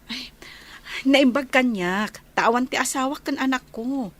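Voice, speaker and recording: An actress playing a caring, apologetic older sister in a radio drama. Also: female voice, high-pitched, unhurried, fairly bright tone. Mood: apologetic, reassuring. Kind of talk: Ilocano radio drama dialogue.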